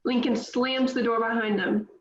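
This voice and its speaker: A woman reads screenplay directions at a table read, her voice a little high. The room is echoey and the sound is dull and lo-fi. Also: steady tone, normal speed. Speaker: script reader.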